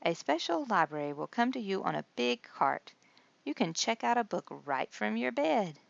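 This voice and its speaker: A woman's voice: medium pitch, sing-song, normal speed, vocal fry, no echo, muffled recording, voice pushed up. A woman voicing a kindly nurse in a children's storybook, patiently explaining things and reassuring young listeners. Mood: gentle, cheerful.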